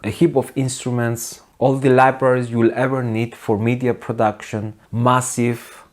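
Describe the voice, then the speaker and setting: Male video essayist, forties, mid-range voice, dry and deadpan, opinionated. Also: mid-range voice, normal speed. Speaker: host of a music production video channel. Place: small home studio, indoors.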